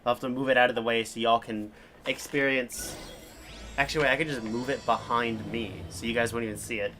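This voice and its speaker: A man's voice: medium pitch, steady tone, fairly quick, noisy background. A man giving casual live commentary as a game streamer, rambling and self-deprecating. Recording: livestream with faint game music.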